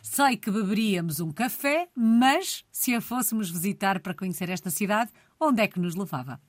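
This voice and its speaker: A woman hosts the interview in a mid-range voice. She speaks in a measured way and builds each question at length. Her voice sounds dry and close. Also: medium pitch, animated, dry recording. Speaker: radio interviewer. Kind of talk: interview hosting.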